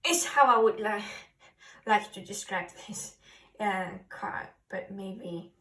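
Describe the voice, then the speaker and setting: A woman tarot reader who speaks English as a second language. She talks slowly and haltingly, searching for her words as she guides listeners through a spiritual card reading. The microphone sits some distance away from her. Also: medium pitch, very noisy. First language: not English.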